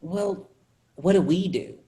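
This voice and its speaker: A woman's voice: lowish voice, slow pace, dark tone. A woman acting a self-absorbed, grumbling office worker with a casual manner, in a staged play reading. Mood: griping.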